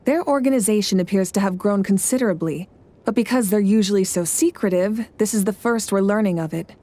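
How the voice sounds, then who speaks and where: A woman's voice: medium pitch, mellow, lightly acted. Woman playing a composed, regal war leader. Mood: grave, resolute. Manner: measured, formal, authoritative. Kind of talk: video game dialogue acting.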